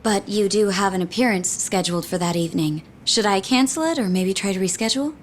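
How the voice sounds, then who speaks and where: A woman's voice: mid-range voice, a little fast, natural voice. A woman plays a dutiful aide in a mid-range voice, briefing her boss in careful, formal words. A faint hum lies behind her. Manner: formal, deferential. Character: polite, efficient political secretary.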